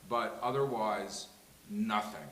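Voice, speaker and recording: Low-voiced articulate man in echoey room. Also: fairly even intonation, slow, fairly bright tone. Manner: articulate, wordy, emphatic. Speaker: panel guest. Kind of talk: opinionated panel discussion. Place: live event room with a small audience. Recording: echoey room with faint hiss.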